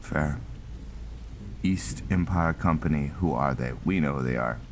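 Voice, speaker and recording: Very low, slow-talking man doing gameplay commentary. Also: slight background noise. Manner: thinking aloud, halting. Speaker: gaming channel host. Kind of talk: casual roleplay gameplay commentary.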